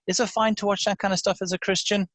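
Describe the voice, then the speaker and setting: A man's voice: sits high, flat delivery, fast talker, a bit bright, no echo. A man hosting a casual podcast chat in an irreverent, wry way, with some casual swearing. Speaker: podcast host.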